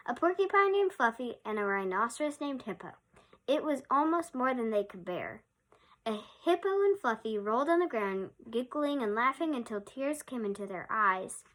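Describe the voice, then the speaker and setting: A woman storyteller reading a picture book aloud to young children, expressively and with drawn-out emphasis. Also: fairly high pitch, sing-song, fairly bright tone.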